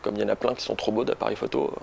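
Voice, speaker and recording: A man's voice: lowish voice, little pitch movement, rapid-fire, creaky, a little mellow, a little hiss or hum. A man in his late twenties from Toulouse, chatting casually and with some self-deprecation as he is interviewed. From Toulouse, France. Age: late 20s. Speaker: interviewed photographer.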